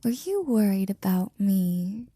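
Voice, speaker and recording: A woman playing a flirty, devoted maid in an intimate ASMR roleplay, breathy and often near a whisper, with a teasing, affectionate manner. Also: mid-range voice, big pitch swings, dark tone, no room sound, heavily acted. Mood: concerned, apologetic. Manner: teasing, affectionate, slow and drawn out.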